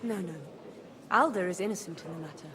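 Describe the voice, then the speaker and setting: A woman's voice: medium pitch, quick pace, crowd noise, natural voice. An actress playing a shrewd, wry widowed medieval noblewoman in a video game, composed and dry in delivery. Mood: businesslike. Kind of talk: video game dialogue acting.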